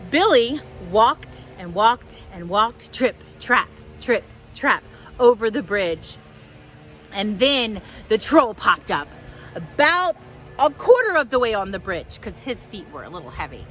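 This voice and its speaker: A woman telling stories to young children outdoors in a park, with expressive, simple phrasing. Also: medium pitch, measured pace. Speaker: children's storyteller, host. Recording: outdoors with faint wind noise.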